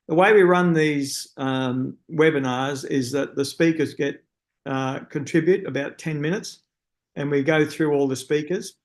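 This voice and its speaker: A man with an Australian accent opens an online symposium over a video call. His voice sits at an ordinary middle pitch. He talks in a friendly way, with plenty of "uh" fillers and restarts. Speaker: webinar host. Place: speaking from a room in a city at night.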